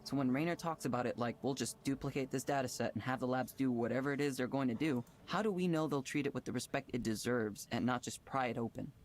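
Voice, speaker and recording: A man's voice: mid-range voice, fast, hardly any pauses, close to an everyday voice. An actor playing an earnest, conflicted young man who leads a tech program, hesitant and apologetic, in naturalistic visual novel dialogue. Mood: uneasy. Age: young adult. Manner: earnest, hesitant, self-doubting.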